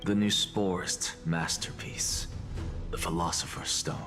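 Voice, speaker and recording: An actor playing a confident, theatrical scholar-alchemist in a fantasy game, with eloquent, declamatory delivery. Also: male voice, low voice, fairly even intonation, measured pace, over music. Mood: lofty, instructive. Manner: declamatory, eloquent, self-assured. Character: confident, theatrical male scholar-alchemist revealing grand theories. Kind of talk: dramatic fantasy game voice acting.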